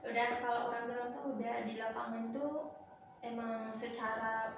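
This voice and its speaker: A young woman from Malaysia speaks as an interview guest in a high-pitched voice, explaining things informally. She sounds distant from the microphone in a very reverberant room. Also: level tone, moderate pace. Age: young adult. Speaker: dental student guest giving advice. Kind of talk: casual interview, explaining dental health. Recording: echoey room, distant mic, clear background noise.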